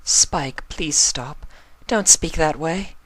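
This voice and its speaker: A man's voice: a little high, slow, fairly bright tone, no room sound, voice pushed up. An elegant female pony, acted by a man reading a fan story, speaks in a raised, refined voice. The diction is formal and earnest, and the recording is dry and close. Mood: pleading.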